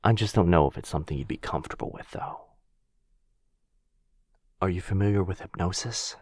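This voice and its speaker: A man voicing a gentle, protective vampire in an ASMR roleplay, soft, breathy and husky, soothing in a hushed, halting way. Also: sits low. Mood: gentle, hesitant. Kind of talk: boyfriend ASMR roleplay audio drama.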